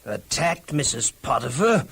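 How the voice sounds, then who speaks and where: A man playing a gruff, blunt, goading prison keeper in a children's audio Bible drama. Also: mid-range voice, very animated, unhurried, fairly bright tone, exaggerated voice.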